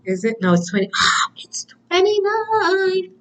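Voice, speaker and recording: A woman giving a spiritual oracle card reading in a gentle, affirming way, with frequent ums and soft laughs, her voice sometimes dropping low. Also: sing-song, a little slow.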